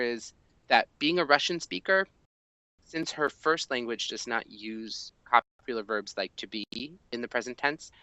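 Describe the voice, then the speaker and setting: A man in his thirties, a language teacher, talking through an assessment form at his desk, thinking aloud with many ums and pauses. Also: medium pitch, sharp tone, smooth, dry recording, dull audio. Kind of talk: screen-recorded walkthrough of an assessment. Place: small indoor room at a desk.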